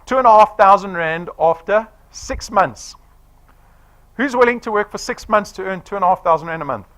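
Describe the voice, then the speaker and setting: A man's voice: high voice, moderate pace. A man in his forties giving a persuasive sales presentation to a live audience, often checking in with "right?". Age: 40s. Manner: animated, persuasive, quizzing the room with "right?".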